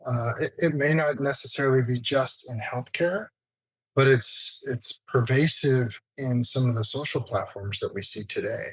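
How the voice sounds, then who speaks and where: A man's voice: medium pitch, moderate pace, husky, no echo, low-quality recording. Man explaining in a dry, close recording. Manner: informal, explanatory, listing points. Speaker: interview guest, information security executive. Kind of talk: conversational expert explanation.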